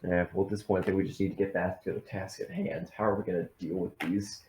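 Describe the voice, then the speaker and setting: A man's voice: deep voice, little pitch movement, fast talker, a little mellow, echoey room, slight background noise, low-quality recording. A man playing a tabletop roleplaying game, speaking casually as his character and describing what that character does.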